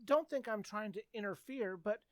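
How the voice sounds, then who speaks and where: A woman's voice: mid-range voice, normal speed, no echo, clean studio sound, natural voice. A woman acting an earnest, polite, gee-whiz teenage boy in a radio comedy.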